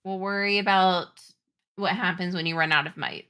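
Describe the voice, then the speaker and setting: A woman game master improvising narration and rules in a halting, conversational way. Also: on the low side, fairly even intonation, bright, forceful tone, smooth. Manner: halting, conversational, pieced-together descriptions. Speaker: game master of a tabletop roleplaying game.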